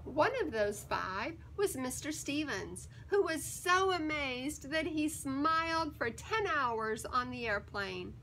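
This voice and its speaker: A woman reading a children's picture book aloud in an expressive, theatrical way, with emphatic words and dramatic pauses. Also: high-pitched, slight background noise.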